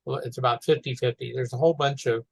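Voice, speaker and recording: A man commenting casually on a teleconference, talking in a halting, rambling way. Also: mid-range voice, fairly even intonation, vocal fry, breathy edge, no room sound. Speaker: meeting participant.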